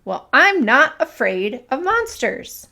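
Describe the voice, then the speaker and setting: A woman voicing a small, fierce, boastful male mouse in a children's storybook, loud and emphatic. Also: high-pitched, sing-song, slow, vocal fry, voice pushed up, exaggerated voice. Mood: proud, boastful. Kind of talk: children's storybook read-aloud.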